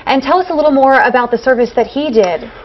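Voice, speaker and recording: A woman with a fairly high voice hosts a live TV interview, upbeat and quick with enthusiastic reactions. The sound is a bit dull, like broadcast audio. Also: animated. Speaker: TV show host.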